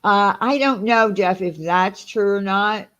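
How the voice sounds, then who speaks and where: A woman's voice: medium pitch, a little slow, fairly bright tone, highs cut off. A woman with a Long Island New York accent, hosting a casual livestream and reading out chat, talking in an indignant, rambling way with many fillers.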